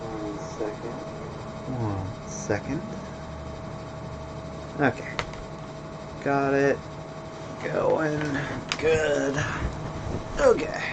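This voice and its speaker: A man streaming a video game with casual, laid-back commentary in a monotone voice, full of fillers. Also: mid-range voice, drawn-out words, pauses often, noisy background. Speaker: let's play streamer.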